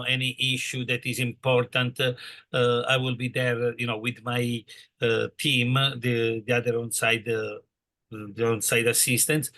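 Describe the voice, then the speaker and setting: A man from Italy with an Italian accent, speaking as an on-site program coordinator in a halting, friendly way with many fillers. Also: medium pitch, sharp tone. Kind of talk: casual info session talk.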